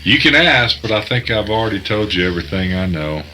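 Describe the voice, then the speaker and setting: Guarded caller, bright low voice. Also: male voice, sing-song, fairly quick, heavily acted, poor audio. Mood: evasive. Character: evasive, calm male acquaintance on the phone. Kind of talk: naturalistic audio drama acting.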